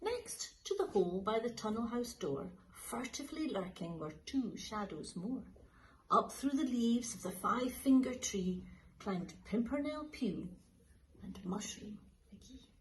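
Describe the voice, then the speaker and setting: A woman teacher reading a children's picture book aloud in a slow, lilting, expressive way. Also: a little high, slight background noise, rough recording. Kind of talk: storybook read-aloud for children.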